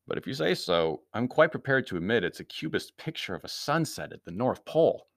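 A man voicing a flippant, unflappable gentleman adventurer in a storybook, speaking with breezy, mock-polite sarcasm. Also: medium pitch, sing-song, a little fast, gravelly, no echo, studio quality, pitched above the performer's own voice. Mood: dry, flippant.

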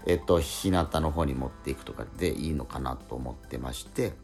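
A man hosting a radio show, chatting casually and explaining things in a polite, halting way. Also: very deep voice, darkish tone, slightly rough, soft background music. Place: radio studio.

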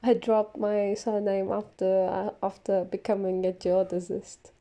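A woman's voice: mid-range voice, flat delivery, unhurried, dark tone. A young woman whose first language is not English, a player on a role-playing podcast, speaking hesitantly with many fillers and giggles. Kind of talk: casual chat.

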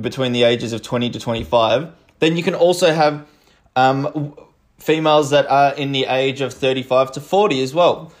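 A man with a slightly croaky voice, casually talking listeners through small business marketing as a podcast host and coach. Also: mid-range voice. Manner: chatty and informal, addresses listeners as "you guys", frequent "um" and "like".

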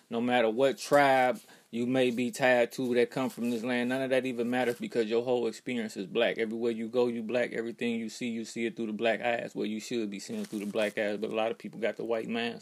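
A man's voice: medium pitch, level tone, quick pace, gravelly. An American man delivering an emphatic, preachy monologue straight to his followers, constantly checking that they are following. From United States. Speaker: social media commentator. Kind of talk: direct-to-camera monologue.

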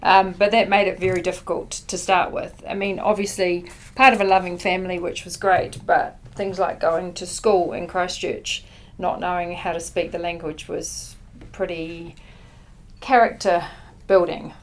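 A woman's voice: lowish voice, flat delivery, normal speed. A middle-aged woman with a New Zealand accent, originally from the Netherlands and a native Dutch speaker, giving conversational answers as an interview guest. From born in the Netherlands, grew up in New Zealand. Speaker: podcast guest, company chief executive.

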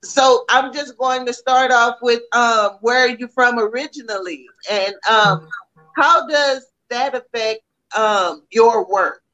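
A woman hosting an interview, warmly and eagerly asking questions with many fillers. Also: fairly high pitch, takes it slowly, dull audio. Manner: warm, eager, filler-heavy. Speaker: interviewer, host.

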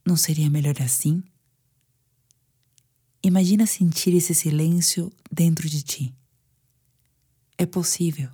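A woman with a Brazilian Portuguese accent guiding a sleep meditation in a soothing, hushed manner with pauses. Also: deep voice, steady tone, husky. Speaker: meditation guide. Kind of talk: guided sleep meditation narration.

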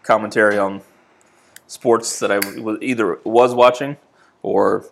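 A man in his thirties, from New England, talks in a low, gravelly voice. He rambles through a story with a relaxed, unhurried ease and plenty of filler words, like an ordinary guest on a podcast. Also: slight background noise. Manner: easygoing storytelling with frequent fillers. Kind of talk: casual chat.

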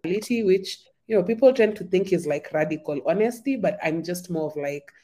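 A woman, a podcast guest telling her life story in a relaxed, rambling way, with a slight sore throat. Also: on the low side, normal speed. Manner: chatty, many "like" fillers, laughs often. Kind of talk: casual conversation.

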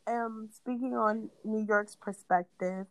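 A young woman from New York with a raspy voice after an asthma attack, hosting a casual podcast chat in a blunt, cursing rant. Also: mid-range voice, steady tone, slow pace, no room sound, low-quality recording. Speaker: podcast host.

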